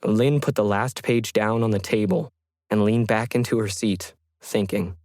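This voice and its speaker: A man's voice: on the low side, steady tone, a little slow, a little mellow, dry recording, studio quality. A man narrating scripted fiction as a storyteller.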